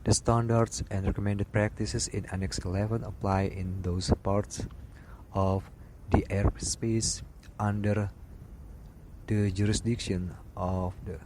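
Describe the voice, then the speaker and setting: A man reading aviation study material aloud in a slow, halting way, often restarting a word. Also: lowish voice, flat delivery, background noise. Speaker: aviation instructor.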